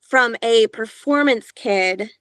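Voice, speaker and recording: A woman from Georgia in the US, an audiobook narrator, talking casually in an interview with a higher-pitched, younger-sounding voice and a chatty manner full of filler sounds. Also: takes it slowly, fairly bright tone, no room sound.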